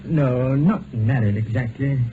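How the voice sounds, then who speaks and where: A male actor plays a tactful doctor in an old radio drama. He is formal and hesitant, with a mid-pitched voice, heard through a thin, very noisy vintage broadcast. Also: a little slow, narrow, tinny audio, close to an everyday voice. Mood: polite, awkward. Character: tactful, awkward male doctor, polite and helpful.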